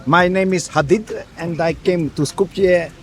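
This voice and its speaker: A man's voice: high-pitched, steady tone, a little slow. A man casually answering questions as an interviewed tourist, outdoors in a city.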